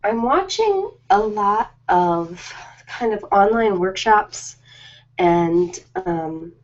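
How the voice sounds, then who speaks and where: A middle-aged woman hosting a casual live chat with her viewers, informal and rambling with hesitant pauses. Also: medium pitch, animated, lo-fi sound. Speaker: ASMR host.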